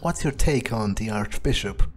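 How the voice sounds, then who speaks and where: A man improvising in character as he plays a game, pausing often mid-sentence. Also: on the low side, lively intonation, faint music behind. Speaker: gamer playing in character. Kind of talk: improvised in-character roleplay commentary. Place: voice-over on game footage, faint game music behind.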